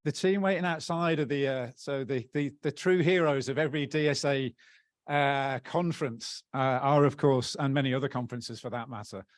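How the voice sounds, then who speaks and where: A man at a conference podium gives closing thanks in an upbeat, joking way, often pausing and restarting mid-sentence. His voice sits in an ordinary middle range. The sound is dry and close, with almost no room echo. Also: medium pitch. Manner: upbeat, joking, halting with many fillers. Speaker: outgoing association president. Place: conference hall plenary.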